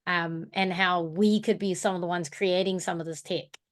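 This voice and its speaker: A woman's voice: fairly low pitch, steady tone, moderate pace. A woman with a New Zealand accent talking informally in an online workshop, thinking aloud as she co-presents. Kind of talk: casual reflective discussion in an online workshop. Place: online video call.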